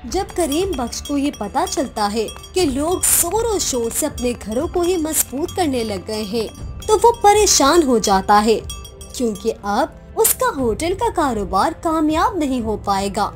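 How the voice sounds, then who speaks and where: Slow, high-pitched woman storyteller. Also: sing-song, soft background music. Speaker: narrator. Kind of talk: scripted storybook narration for a cartoon.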